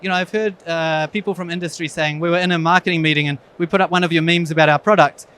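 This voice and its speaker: A man's voice: fairly high pitch, steady tone, fast, fairly bright tone, smooth. A man with a New Zealand accent, an eye surgeon giving a frank, wry conference talk with self-deprecating humor. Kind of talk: conference presentation.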